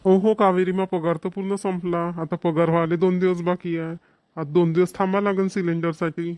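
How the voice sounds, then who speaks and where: A man's voice: high-pitched, level tone, brisk, a little mellow, clean tone, dry recording, close to an everyday voice. A man playing a broke village father, scolding yet coaxing, in a cartoon comedy with a Vidarbha Marathi accent.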